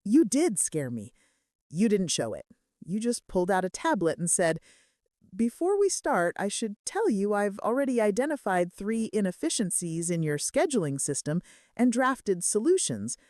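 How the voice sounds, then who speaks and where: Romance audiobook role: remorseful young crime boss played by a woman, intense, earnest, animated intonation. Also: mid-range voice, moderate pace, dry recording, studio quality, natural voice. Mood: tender, confessional. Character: brooding, remorseful young male mafia boss confessing his love.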